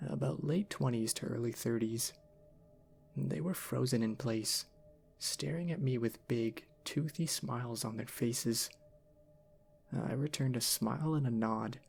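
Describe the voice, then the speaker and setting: A man reading a scripted horror story in an ASMR style, with measured, deliberate delivery. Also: mid-range voice, creaky, darkish tone.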